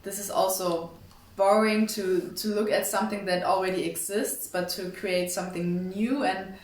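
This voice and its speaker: A woman's voice: medium pitch, fairly even intonation, normal speed. A young woman with a German accent giving interview answers, thoughtful and searching for words. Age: young adult. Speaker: actress. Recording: echoey, distant mic, background noise.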